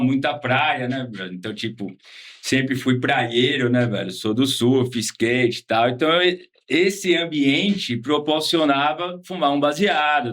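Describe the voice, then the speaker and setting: A man from Salvador with a Bahian accent, talking casually as an interview guest, relaxed and slangy, often ending with tag questions. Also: fairly high pitch. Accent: Bahian Portuguese. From Salvador, Bahia, Brazil. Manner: laid-back slangy talk with frequent tag questions. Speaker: guest, former patient.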